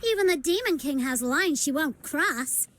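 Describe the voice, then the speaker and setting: A little demon queen from a video game, with an extremely high, girlish voice. She is feisty and bossy, her pitch leaping around with indignation. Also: lively intonation, normal speed, fairly bright tone. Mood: indignant. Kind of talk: scripted video game voice acting.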